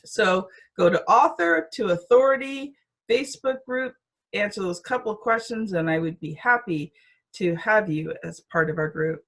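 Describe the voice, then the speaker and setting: A woman's voice: medium pitch, moderate pace, low-quality recording. A woman speaking as a podcast host, introducing the show and chatting with a guest. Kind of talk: podcast introduction and interview chat.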